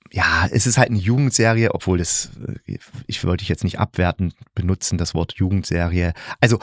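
A man's voice: fairly low pitch, dry recording, studio quality. A man hosting a solo podcast, reviewing in a chatty, colloquial way as if thinking aloud. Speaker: podcast host and radio drama maker.

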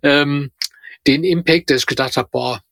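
A man's voice: a little high, normal speed, a bit bright, no echo. A man in a casual, reflective discussion as a podcast co-host, speaking hesitantly and searching for words.

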